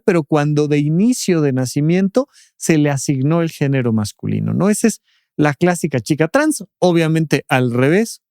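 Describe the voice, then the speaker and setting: A man talks as a doctor on a podcast, explaining patiently and often closing a point with a questioning tag. His voice sits a bit high, and the studio sound is dry and close, with no room around it. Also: a little mellow, no room sound. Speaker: doctor and expert guest.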